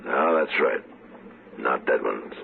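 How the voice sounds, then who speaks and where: Very deep-voiced American western marshal. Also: male voice, slow, vocal fry, very noisy, full character voice. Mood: resigned. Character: grim, steady US marshal of the Old West. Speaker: lead actor and first-person narrator. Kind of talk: 1950s western radio drama acting. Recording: dull vintage radio recording, music behind.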